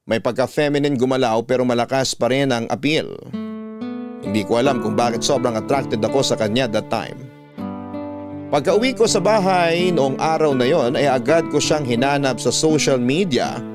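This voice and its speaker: A man reading a first-person love story as scripted narration, in the role of a radio storyteller. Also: medium pitch.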